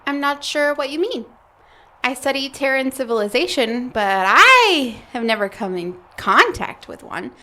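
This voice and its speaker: A woman's voice: high voice, sing-song, a little slow, bright, forceful tone, exaggerated voice. A woman playing a flustered, evasive alien graduate student who stammers and chuckles nervously in an audio comedy. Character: flustered female alien grad student, evasive, squeamish fibber. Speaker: voice actor. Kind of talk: audio comedy drama acting.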